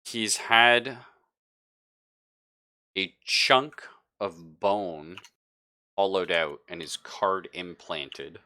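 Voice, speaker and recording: A man with a deep but bright, forward voice acts as game master for a tabletop horror game. He improvises his narration in a chatty, emphatic way, often paraphrasing as he explains. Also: drawn-out words, pauses often, no echo, clean studio sound.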